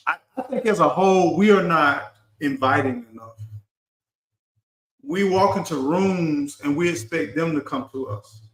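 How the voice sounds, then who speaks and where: A man's voice: on the high side, a bit bright. Earnest middle-aged man, echoey room. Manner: earnest, urging, repeats and restarts words. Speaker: live stream host. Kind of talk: casual live stream talk.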